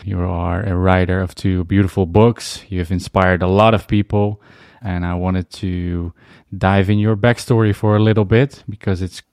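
A man's voice: low-pitched, steady tone, moderate pace, a little mellow, clean tone, dry recording, studio quality. A man hosting a podcast, introducing and questioning his guest in a polite, appreciative manner. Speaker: podcast host.

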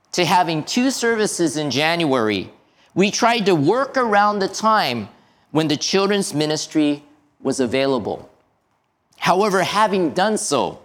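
A male pastor delivering a sermon in a slow, measured way, letting his voice fall at the end of each phrase. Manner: slow, measured preaching with lively pitch and drops at phrase ends.

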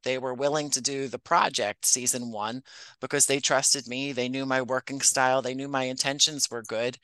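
A man's voice: medium pitch, bright, forceful tone, clear, resonant tone, dry recording, low-quality recording. A man speaking as an interview guest, explaining his work in an articulate, conversational and self-deprecating way. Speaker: podcast writer-producer and actor, interview guest.

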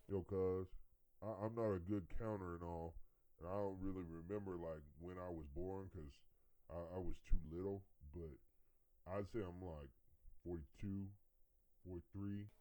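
A man doing a very deep, dark-voiced dim prisoner. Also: fairly even intonation, normal speed, lightly acted, low-quality recording. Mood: deadpan. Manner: slangy and rambling, stumbles over words. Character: dim-witted lovesick male prisoner in his forties. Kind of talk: comedy skit acting.

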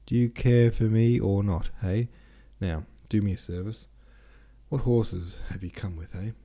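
A man voicing a brash, bossy young gentleman rake from a novel, commanding and informal. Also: lowish voice, measured pace. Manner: commanding, peppers orders with "eh?".

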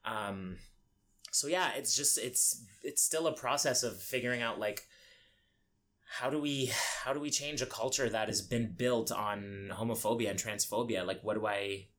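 Podcast guest: young man from Egypt, candid and reflective, hesitant phrasing, medium-pitched, faint background noise. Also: normal speed, a bit bright. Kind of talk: candid personal interview.

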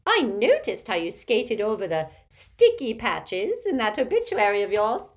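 Screechy, high-pitched old witch aunt. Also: wildly swooping pitch, unhurried. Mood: scornful. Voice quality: cackling, screechy. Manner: domineering, gossipy, emphatic. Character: haughty, gleefully spiteful, tipsy old witch aunt.

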